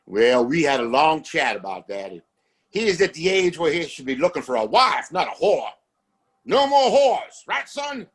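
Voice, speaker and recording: Man acting pompous, husky Western town boss. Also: fairly high pitch, very animated, normal speed, a bit bright, full character voice. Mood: smug, self-satisfied. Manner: imperious, self-righteous, formal wording. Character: pompous, domineering father who runs a Western town. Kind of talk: Western audio drama acting.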